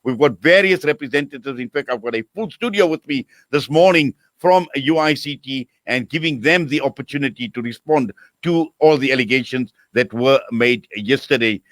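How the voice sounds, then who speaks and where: Male radio host, studio mic, measured delivery, frequent pauses. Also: mid-range voice, fairly bright tone. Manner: measured, many filler pauses. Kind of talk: studio interview hosting. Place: broadcast studio. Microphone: studio microphone.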